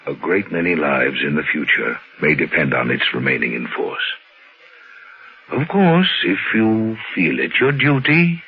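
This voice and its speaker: An actor playing an earnest, dignified doctor in measured, formal 1950s radio drama style. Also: male voice, very deep voice, big pitch swings, breathy edge, full character voice. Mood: solemn. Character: earnest, dignified doctor running a clinic, mature man. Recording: muffled old radio recording, background noise.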